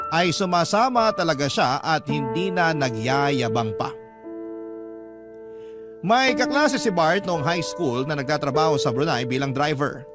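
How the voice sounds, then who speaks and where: A man narrating a scripted first-person story in radio storytelling style. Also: a little high.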